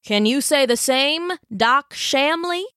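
A woman's voice: sits high, expressive, slow, fairly bright tone, no echo, pitched above the performer's own voice, heavily acted. A skeptical frontier physician in a storybook, voiced by a woman. He speaks in a dry, pointed and proper way, with a close, clean sound. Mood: indignant.

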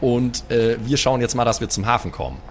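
A man's voice: medium pitch, expressive, fairly quick, a little hiss or hum. A man playing in an improvised audio role-play, talking in a casual, chummy way in character. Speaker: player in a role-play show.

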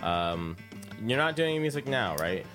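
A man's voice: medium pitch, very animated, unhurried, over music. A man hosting a casual interview show on the radio, chatting informally from a studio.

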